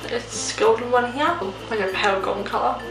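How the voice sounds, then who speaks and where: A woman's voice: on the high side, moderate pace. A young woman, a little nasal from a cold, chatting casually through a makeup tutorial with lots of ums. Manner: chatty, rambling, many ums. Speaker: beauty vlogger. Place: room at home. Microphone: phone camera. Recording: roomy home recording with music. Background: soft background music.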